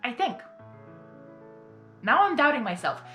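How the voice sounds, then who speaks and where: A woman hosting a casual reaction video, excitable, apologetic and prone to rambling and repeating herself. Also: high voice, unhurried. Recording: roomy echo, music behind.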